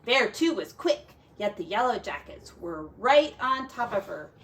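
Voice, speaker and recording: A woman reading a children's folk tale aloud in an expressive, dramatic and deliberate way, like a teacher. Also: medium pitch, slight background noise. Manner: dramatic, deliberate, with quiet asides to young listeners.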